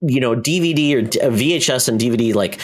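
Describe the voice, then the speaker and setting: A middle-aged man co-hosting a podcast, musing casually in a halting, piecemeal way. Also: mid-range voice. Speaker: podcast co-host. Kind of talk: casual conversational podcast chat.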